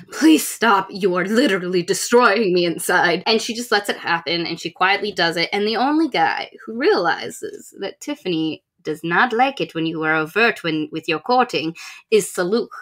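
A young woman co-hosting a casual book podcast, retelling stories in an animated, expressive way with acted-out asides. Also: on the low side, normal speed. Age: young adult. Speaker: podcast co-host. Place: small room with bookshelves, video call.

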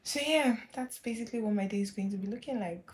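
A young woman, chatting casually at home as a lifestyle vlogger, relaxed and gushing with soft laughs. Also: medium pitch, quick pace. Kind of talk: casual chat to camera.

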